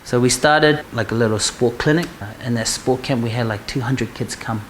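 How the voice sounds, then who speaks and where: Earnest New man, halting fillers. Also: mid-range voice, a little hiss or hum, rough recording. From New Zealand. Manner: earnest, unhurried, frequent "uh" fillers. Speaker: rugby charity co-founder. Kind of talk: interview and documentary testimony.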